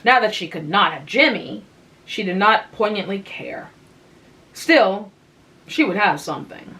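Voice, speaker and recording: Slow, calm woman reading a story. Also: mid-range voice, rough recording. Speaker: reader of literature. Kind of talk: relaxing literary reading.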